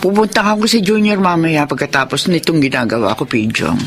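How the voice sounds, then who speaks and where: A woman's voice: low voice, brisk, slight background noise. A woman playing a fussy, loyal older mother and grandmother in a Filipino radio drama. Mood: matter-of-fact. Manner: animated, exclamatory.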